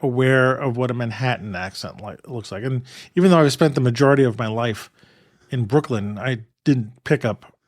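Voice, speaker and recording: A man from New York co-hosting a photography podcast, chatting in a relaxed, rambling way with many restarts and laughs. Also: medium pitch, normal speed, dry recording, clean studio sound. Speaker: podcast co-host. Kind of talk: casual chat.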